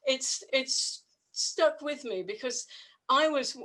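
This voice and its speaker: An older woman in her sixties or beyond giving a reflective personal account on a webinar, with halting, restarted phrases. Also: sits high, fairly bright tone. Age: 60s or older. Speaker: panel guest, polio survivor.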